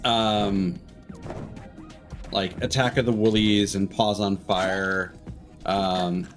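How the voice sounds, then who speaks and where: A man giving casual live video game commentary, rambling and thinking out loud as he plays. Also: on the low side, level tone, extremely slow. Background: game music.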